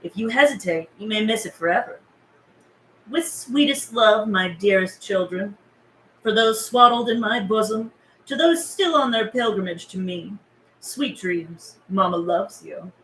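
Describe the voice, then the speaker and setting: A woman's voice: mid-range voice, animated, a little slow, fairly bright tone, roomy sound, slight background noise, poor audio. A woman playing a motherly female radio preacher with a California teen lilt, in a script read. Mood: tender, salesy. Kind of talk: table read of a film script.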